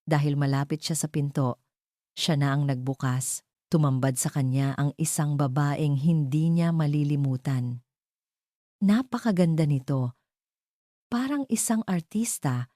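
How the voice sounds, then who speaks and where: A woman's voice: low-pitched, unhurried, pauses often, mellow, no echo, studio quality. A woman with a Filipino accent reading a scripted dramatic story as narrator.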